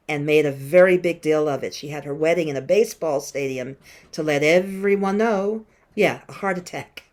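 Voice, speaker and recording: A woman in her late fifties with a lowish voice speaks to students over a video call. She talks conversationally, tells stories, and pauses to ask the class questions. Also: darkish tone. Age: 50s. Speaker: guest musician teaching a class. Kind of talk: online classroom talk.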